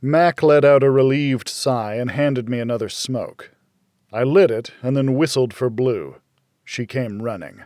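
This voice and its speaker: A man narrating a first-person noir thriller in a measured, hardboiled manner. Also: mid-range voice, darkish tone, no room sound, clean studio sound. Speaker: audiobook narrator.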